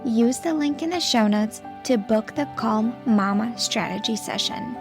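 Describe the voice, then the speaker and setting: A woman's voice: on the high side, a little slow, music in the background. A woman in her thirties, born in Latvia with Russian as her first language, hosting a podcast in an earnest, chatty, encouraging way. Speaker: podcast host, anger coach for moms. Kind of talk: casual solo podcast chat.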